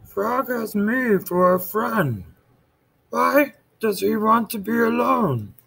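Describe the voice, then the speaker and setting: A man voicing an anxious, eager male toad, high and pleading, in a storybook read-aloud. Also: animated, slow pace, creaky, fairly bright tone, breathy edge, exaggerated voice. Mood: worried.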